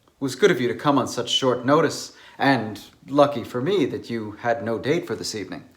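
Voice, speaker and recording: A man's voice: medium pitch, lively intonation, moderate pace, lightly acted. A man playing a polite, slightly awkward scholarly bachelor in a dramatized novel reading. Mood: genial, polite. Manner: courteous, slightly awkward, hesitant. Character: polite, hesitant single man, a scholarly dinner host.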